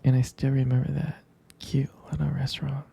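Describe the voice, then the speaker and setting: A man playing a jealous, possessive but tender boyfriend in an ASMR roleplay, speaking in a hesitant, intimate, breathy whisper. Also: medium pitch, flat delivery, mellow, exaggerated voice. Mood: fond, nostalgic. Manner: hesitant, intimate, halting delivery.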